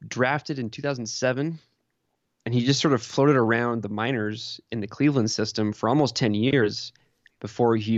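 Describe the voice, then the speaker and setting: A man's voice: medium pitch, dry recording, muffled recording. A man co-hosting a podcast in informal, conversational sports and hobby chat.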